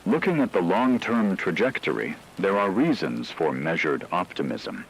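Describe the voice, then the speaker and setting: Low-voiced grave radio newsreader played by a man. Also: sing-song, vocal fry, a little hiss or hum, full character voice, rough recording. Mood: grave, measured. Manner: measured, formal, factual delivery. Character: grave male radio newsreader reporting a zombie apocalypse. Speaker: news anchor. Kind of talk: scripted radio news bulletin.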